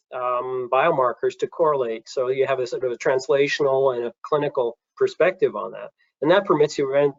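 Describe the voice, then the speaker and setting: Male panelist, mid-pitched, halting delivery full of restarts; muffled, dry video-call audio. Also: no room sound. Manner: halting, many restarts and fillers. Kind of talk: panel discussion.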